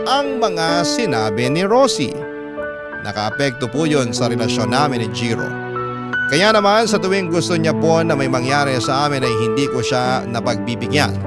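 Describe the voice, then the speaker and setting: A Filipino radio host reads a first-person story. The man's voice is mid-pitched and delivered as scripted narration, with prominent music running underneath. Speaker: radio host reading a listener's letter. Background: prominent background music.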